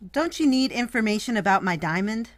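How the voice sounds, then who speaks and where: A woman's voice: mid-range voice, animated, unhurried, no echo, lightly acted. A woman playing an exasperated rich client. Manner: exasperated, impatient. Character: impatient female client, a wealthy young woman.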